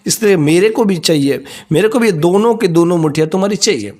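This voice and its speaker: A man's voice: high-pitched, expressive, fast talker, a little mellow. A man voicing a crafty, vengeful young farmer's son in a folk story, stubbornly repeating his demands. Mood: angry, stubborn. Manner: insistent, repetitive demanding.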